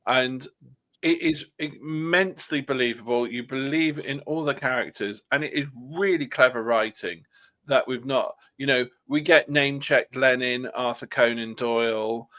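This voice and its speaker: A man talking casually as a podcast panellist, sometimes pausing to search for his words. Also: medium pitch, pushed and bright. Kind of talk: casual fan discussion.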